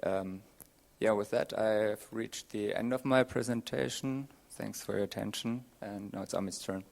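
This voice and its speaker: A young man giving a conference presentation as a student, with a hesitant, halting delivery. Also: fairly low pitch, creaky, background noise. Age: young adult. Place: dim conference auditorium with audience, on a panel.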